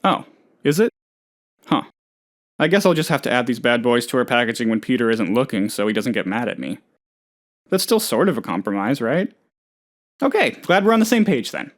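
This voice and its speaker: A man's voice: medium pitch, very animated, normal speed, long pauses, close to an everyday voice. A man playing an eccentric, eager, scatterbrained office worker in a comedic video game, rambling earnestly about absurd things. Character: eccentric, eager, scatterbrained male office colleague, cheerfully odd.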